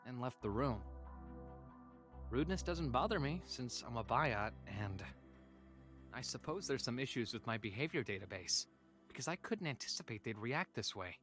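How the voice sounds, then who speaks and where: A man voicing a polite, weary android companion who narrates a sci-fi visual novel diary in a measured, formal, hesitant way. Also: mid-range voice, lively intonation, a bit bright, faint music behind, natural voice. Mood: troubled. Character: weary obedient male android companion, polite, quietly troubled.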